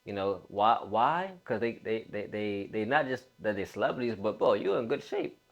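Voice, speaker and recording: A man's voice: fairly low pitch, expressive, moderate pace, gravelly, lo-fi sound. A man in his late twenties giving a casual motivational talk as a fitness coach, emphatic, repeating phrases and using informal bro slang.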